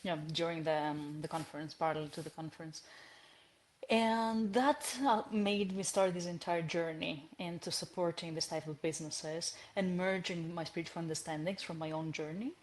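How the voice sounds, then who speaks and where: A woman in her thirties from Greece, speaking as a podcast guest who tells her story in an animated way, with frequent restarts and light laughs. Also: lowish voice, normal speed. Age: 30s. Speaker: guest, entrepreneur. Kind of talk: conversational podcast interview.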